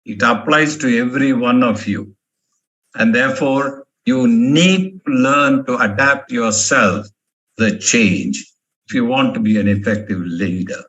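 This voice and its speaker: A man's voice: mid-range voice, unhurried, fairly bright tone, muffled recording. A male professor from India giving a motivational management lecture, emphatic and fond of repeating key words. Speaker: professor giving a guest talk.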